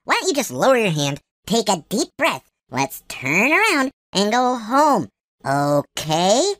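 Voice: medium pitch, wildly swooping pitch, a little slow, a bit bright, no room sound, clean studio sound. A performer voicing an anxious, wordy droid sidekick in a parody radio drama, fussy and prone to stammering. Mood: pleading, exasperated.

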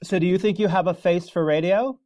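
A man's voice: high-pitched, fairly even intonation, a little fast, warm tone, dry recording, studio quality. A man hosting a casual interview in a playful, teasing manner, recorded on dull lo-fi audio.

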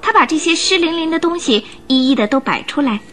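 A woman's voice: high voice, animated, fairly quick, a bit bright. A woman narrating a radio drama in a scripted, dramatic storytelling style. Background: music.

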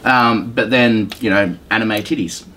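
A man's voice: lowish voice, measured pace, pushed and bright, slight background noise, rough recording. A man hosting a podcast, explaining video games casually in loose, informal phrasing. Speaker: podcast host.

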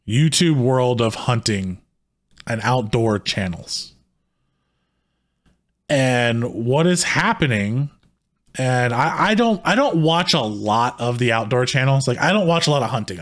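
A man co-hosting a casual podcast, his voice sitting at an ordinary middle pitch with a slight hoarseness. He talks in a heated, rambling way, often stopping and starting his sentences over. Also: a bit bright. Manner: heated, rambling talk with many restarts. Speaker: podcast co-host.

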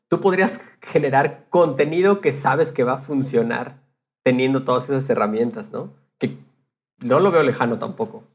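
A man's voice: mid-range voice, moderate pace. A man chatting casually as a speaker on a conversational podcast. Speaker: podcast co-host or guest.

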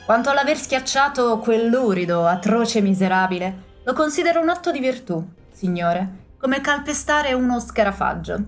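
A woman reading an audiobook, voicing a hot-tempered, boastful gentleman who exclaims loudly. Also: on the high side, lively intonation, moderate pace, few pauses, soft background music, voice pushed up. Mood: hearty. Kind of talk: audiobook character voice.

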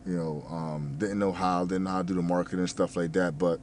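Deep-voiced man mumbling through a casual ramble. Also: steady tone, a little fast, darkish tone, slight background noise. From New Jersey. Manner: stumbles over words, many fillers, curses freely. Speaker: restaurant manager and fitness vlogger.

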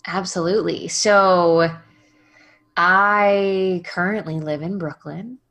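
A woman in her thirties, a podcast guest talking casually, bubbly and self-deprecating with quick asides. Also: fairly low pitch, fairly even intonation, a bit bright. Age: 30s. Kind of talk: casual interview conversation.

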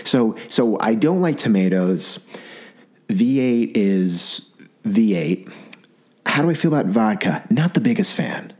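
A man with a very low, husky voice, roughened by a sore throat. He hosts his own podcast as a young adult, spinning a rambling comic monologue. He trips over his words and restarts often, with animated swings up in pitch. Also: unhurried. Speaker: podcast host. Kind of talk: comedic solo monologue.

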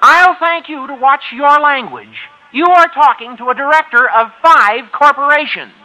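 Squeaky, cracking teenage schoolboy. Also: male voice, normal speed, sharp tone, exaggerated voice. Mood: indignant. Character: squeaky, cheeky teenage schoolboy with mock dignity. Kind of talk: 1950s radio comedy acting. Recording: noisy, clicking old radio recording.

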